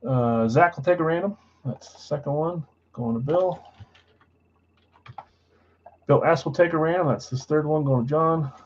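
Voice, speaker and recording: A man's voice: normal speed, long pauses, highs cut off. A man hosting a live trading card break stream, casually reading out buyers and spots in a matter-of-fact voice he calls boring. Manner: self-described boring voice, low-key and matter-of-fact.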